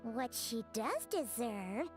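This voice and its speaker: A woman voicing a small, childlike, excitable and petulant fairy companion in an anime video game, squeaky and dramatic. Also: slow pace, soft background music. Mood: indignant, smug. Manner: bubbly, dramatic, refers to herself in third person.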